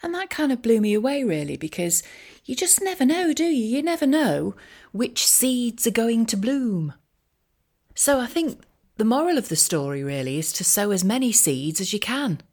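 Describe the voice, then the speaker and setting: A woman talking casually in a rambling, self-deprecating monologue, with wry, gently ironic asides. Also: fairly high pitch, big pitch swings, moderate pace. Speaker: voiceover artist and career coach sharing a personal update.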